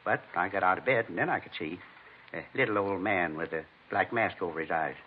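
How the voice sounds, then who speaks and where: Actor for shaken American husband, male, fifties, 1950s radio drama. Fairly low, halting, earnest, indignant; muffled old broadcast sound. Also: slight background noise, close to an everyday voice. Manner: halting, earnest, plain-spoken, repeats himself. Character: shaken, indignant fifty-two-year-old husband, a robbery victim.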